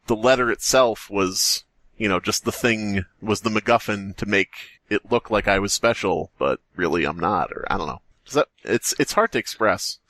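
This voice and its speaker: A man's voice: fairly low pitch, a bit bright, dry recording. A man co-hosting a podcast, talking casually about a horror story in a jokey, rambling way as he thinks aloud. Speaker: podcast co-host.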